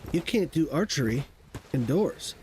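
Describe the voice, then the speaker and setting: A man's voice: fairly high pitch, very animated, measured pace, darkish tone, slight background noise. A man chatting casually through a game stream, sniffly from hay fever and rambling as he plays. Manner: rambling, talks to himself while playing. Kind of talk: casual gameplay commentary.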